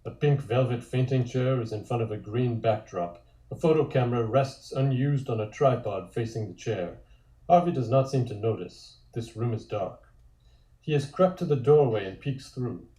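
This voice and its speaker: Casting: male storyteller for table-read narration, warm mid-range voice, measured; roomy audio. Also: medium pitch. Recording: roomy, echoey sound.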